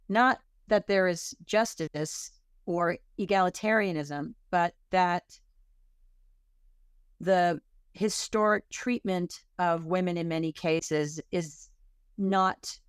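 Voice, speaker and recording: A woman author being interviewed on a podcast, answering thoughtfully and often pausing to rephrase. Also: on the low side, smooth, no echo, clean studio sound. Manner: thoughtful, self-correcting, searching for words. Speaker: author guest.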